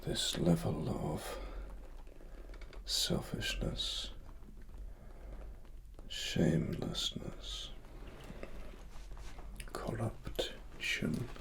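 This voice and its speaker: A British man speaks extremely slowly in a soft, breathy half-whisper, leaving long pauses. His low voice swings widely in pitch as he plays a grave cyberpunk investigator in an ASMR story. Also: wildly swooping pitch, slight background noise. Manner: slow, deliberate, hushed, with long pauses. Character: grave cyberpunk investigator uncovering corporate wrongdoing. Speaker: ASMR performer. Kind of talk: softly spoken ASMR roleplay, scripted cyberpunk story.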